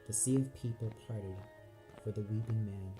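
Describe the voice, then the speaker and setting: A man's voice: on the low side, normal speed, music in the background. A man narrating an audio play in a solemn, theatrical, scripted style.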